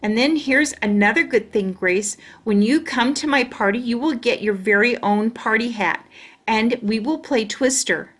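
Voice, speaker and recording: Woman playing a chatty, stubborn little schoolgirl, high-voiced. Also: moderate pace, fairly bright tone. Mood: chatty, proud. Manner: childlike wording, emphatic. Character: young schoolgirl, chatty, boastful, stubborn storybook narrator. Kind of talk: children's storybook read-aloud.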